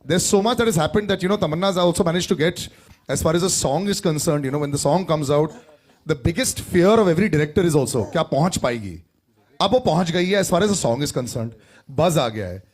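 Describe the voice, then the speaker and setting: A man's voice: a little high, expressive. A man with an Indian accent hosts a press event, steering the questions in mixed English and Hindi. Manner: brisk, directing the questions. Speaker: event host.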